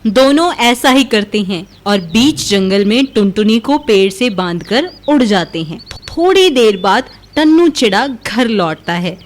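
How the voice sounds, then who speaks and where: A woman's voice: medium pitch, animated, measured pace, hardly any pauses, slight background noise. A woman narrator reading a scripted children's moral story.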